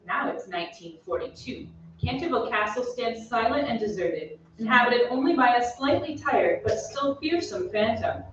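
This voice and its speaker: A woman's voice: on the high side, expressive, moderate pace, close to an everyday voice. A woman reading scripted storytelling narration for an old-time style radio play. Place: theatre stage. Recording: echoey stage, distant mic, dull, faint noise.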